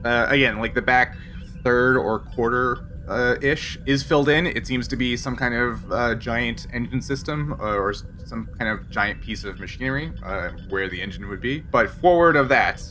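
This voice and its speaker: A man's voice: mid-range voice, moderate pace, pushed and bright. A man narrating as game master of a tabletop role-playing game in a casual, explanatory, conversational way. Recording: faint background noise.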